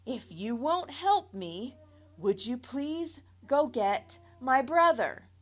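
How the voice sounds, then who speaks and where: A panicked young girl with a broken arm cries out in a high, strained voice, as a woman reader puts her on. She is loud and tearful and begs for help. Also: very animated, takes it slowly, exaggerated voice. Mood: pleading.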